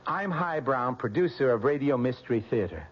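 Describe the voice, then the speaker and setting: A man's voice: mid-range voice, lively intonation, moderate pace. A man, a radio show producer, making an earnest on-air announcement and reading out an address carefully. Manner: earnest, grateful, carefully spelled out. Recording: dull lo-fi old radio broadcast.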